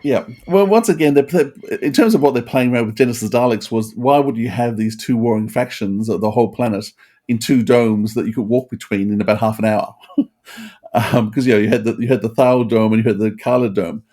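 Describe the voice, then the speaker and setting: Mellow-voiced man presenting a podcast. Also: mid-range voice, brisk. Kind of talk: informative podcast commentary.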